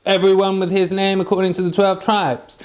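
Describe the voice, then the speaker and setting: A man reading scripture aloud as an amateur Bible reader, slightly halting in his delivery. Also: high-pitched, monotone, very noisy.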